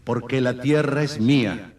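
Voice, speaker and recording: A man's voice: medium pitch, a little slow, darkish tone, distant mic, close to an everyday voice. A man performs the voice of God in a radio play. He proclaims scripture with grave, solemn command, in a middle register, set back from the microphone. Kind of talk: radio drama scripture recitation.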